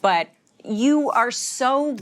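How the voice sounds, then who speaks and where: A woman co-hosting a podcast, quick and playful in casual chat. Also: a little high, animated. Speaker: podcast co-host.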